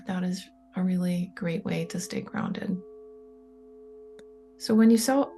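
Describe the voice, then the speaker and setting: A woman leading a guided meditation, speaking softly and slowly, often close to a whisper. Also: medium pitch. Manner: soft, slow and soothing, often near a whisper. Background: soft meditation music with singing bowl tones.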